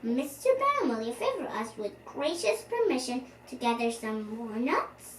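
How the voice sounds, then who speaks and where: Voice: medium pitch, sing-song, measured pace. A child voicing a polite little storybook squirrel who courteously and formally asks a favour. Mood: polite, humble. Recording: roomy, distant mic, faint noise.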